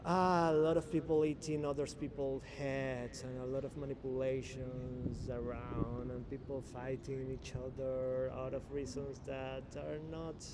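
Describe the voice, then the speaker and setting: A Venezuelan man in his thirties, speaking English with a Spanish accent, muses openly about his life in a relaxed interview. His pitch sits in an ordinary middle range, and he laughs easily and stops to hunt for words. Steady background noise runs under the recording. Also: unhurried, few pauses. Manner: reflective, searching for English words, laughs often. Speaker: guest. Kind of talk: casual conversational interview.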